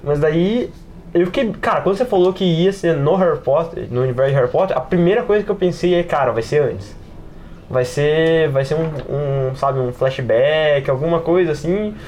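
A man's voice: fairly high pitch, normal speed, darkish tone. A Brazilian man chatting casually as a podcast panelist, rambling and using plenty of slang. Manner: rambling, peppered with slang and filler tags. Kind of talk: casual chat about tabletop role-playing. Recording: clear background noise.

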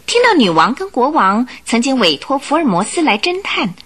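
Bright, animated woman storyteller, slightly husky. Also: high-pitched, moderate pace. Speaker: radio drama narrator. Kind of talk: expressive scripted storytelling narration.

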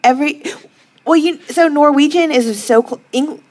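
A woman with a fairly high voice tells a story in an animated, self-deprecating way. She co-hosts a live podcast recorded on a bar stage. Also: normal speed. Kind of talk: casual chat.

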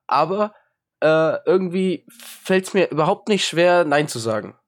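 A man's voice: fairly high pitch, animated, measured pace. A young man with a cold, chatting casually as a podcast co-host, rambling and self-mocking with lots of hesitations. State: has a cold. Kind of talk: casual chat.

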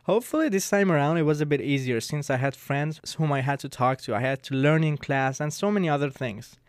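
A man's voice: on the high side, fairly quick, no room sound, studio quality. A young man whose first language is Farsi, co-hosting a casual student radio chat, laughing often and checking in with "right?". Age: young adult. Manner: light, laughs often, ends points with "right?".

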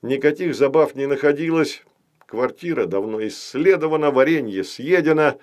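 A man's voice: on the high side, expressive, normal speed. A man reading a children's story as scripted audiobook narration.

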